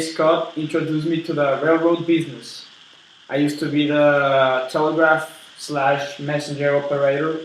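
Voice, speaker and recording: A man playing an eager, polite steel tycoon in a role-played interview, earnest and halting as he repeats words. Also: fairly high pitch, fairly bright tone, recorded from a distance. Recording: very echoey room with background noise.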